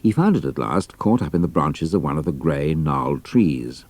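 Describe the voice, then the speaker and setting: A man's voice: a bass voice, expressive, normal speed, a little mellow, no echo. A British man narrating a scripted adventure story as an audiobook, with precise storytelling delivery.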